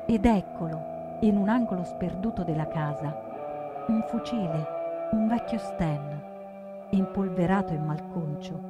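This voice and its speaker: An actress playing a wounded, fierce and anguished young partisan woman in a theatrical first-person monologue, speaking intensely in deliberate, short emphatic phrases. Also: on the low side, lo-fi sound, loud music behind the voice, lightly acted. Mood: somber, tense. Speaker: stage actor.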